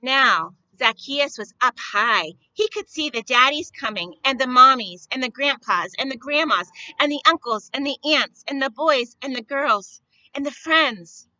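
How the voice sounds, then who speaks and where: A woman telling stories to young children in a simple, deliberate, expressive way, often putting questions to them. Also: high voice, dry recording, lo-fi sound. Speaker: children's storyteller at a church. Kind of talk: read-aloud storytelling for young children.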